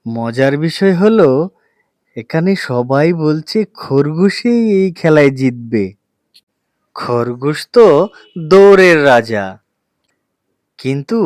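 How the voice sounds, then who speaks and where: Male narrator for a kids' animal fable: mid-pitched, unhurried, drawn-out storytelling delivery. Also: dry recording, clean studio sound. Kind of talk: scripted children's fable narration.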